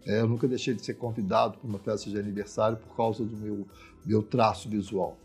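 A man's voice: on the low side, little pitch movement, brisk, darkish tone, breathy edge, soft background music. A man with a Brazilian accent from Minas Gerais, explaining social issues informally in a reflective, emphatic way, often adding tag questions.

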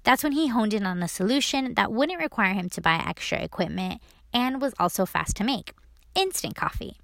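A woman's voice: on the low side, fairly bright tone, dry recording, studio quality. A woman hosting a food podcast, reading an upbeat intro and then interviewing her guest with enthusiasm. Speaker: podcast host.

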